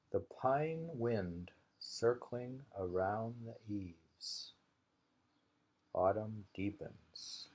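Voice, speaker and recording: A man with a low, creaky voice reads short poems and muses on them casually. His pace is slow and unhurried, and the sound is a dull, lo-fi home recording. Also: darkish tone. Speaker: reader of a haiku anthology. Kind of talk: slow poetry reading with casual commentary.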